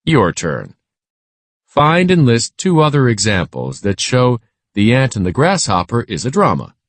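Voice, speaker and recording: A man reading a scripted children's lesson aloud in a measured, instructional way. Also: mid-range voice, wildly swooping pitch, no echo.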